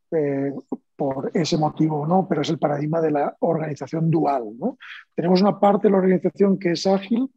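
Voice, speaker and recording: A man's voice: a little high, dark tone, husky, dry recording, lo-fi sound. A man from Spain with a Spanish accent, speaking as a panelist in a panel discussion in a conversational way, with fillers and restarts.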